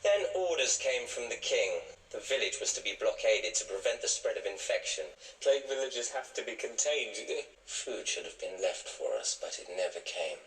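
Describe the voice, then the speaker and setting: An actor playing a bitter, grief-stricken villain driven mad by loss in a period TV drama. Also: male voice, fairly low pitch, big pitch swings, normal speed, a bit bright. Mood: bitter, anguished. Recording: echoey TV audio with score.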